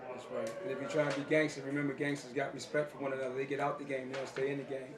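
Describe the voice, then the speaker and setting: A man's voice: mid-range voice, flat delivery, racing, a crowd in the background. An American man doing a casual street-style interview, talking in an informal, slangy way. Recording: roomy, noisy on-location sound.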